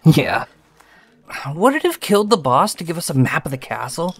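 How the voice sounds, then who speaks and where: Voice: medium pitch, big pitch swings, moderate pace, slightly rough. An actor in a theatrical audiobook playing a cheeky young male thief with a playful, conspiratorial way of talking. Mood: wry, amused. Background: background music.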